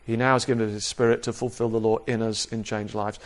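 A man preaching an expository sermon in a measured, explanatory manner, repeating key phrases for emphasis. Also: fairly low pitch, flat delivery. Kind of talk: sermon, expository Bible teaching.

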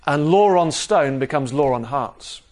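Male preacher teaching in measured phrases. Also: on the high side, expressive, darkish tone. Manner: measured, explanatory, repeats key phrases for emphasis. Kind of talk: sermon, expository Bible teaching.

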